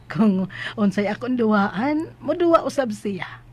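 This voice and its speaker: A gracious, well-to-do hacienda lady with a Cebuano Filipino accent. Her voice is husky yet a little high, with a genteel, welcoming manner. It is radio drama acting. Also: lively intonation, a little slow, a little mellow. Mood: nostalgic.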